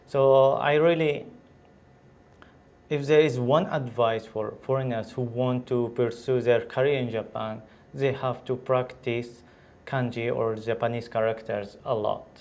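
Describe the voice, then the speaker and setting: Syrian male doctor, English, halting casual interview answers. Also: mid-range voice, steady tone, a little mellow. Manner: hesitant, many restarts and fillers. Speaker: guest, physician.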